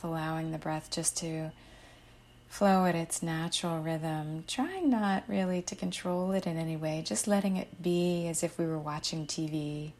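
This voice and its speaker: A woman guides a meditation at a very slow, unhurried pace. Her voice sits slightly low and she gives gentle, soothing instructions with soft endings to her phrases. A faint noise runs behind the recording.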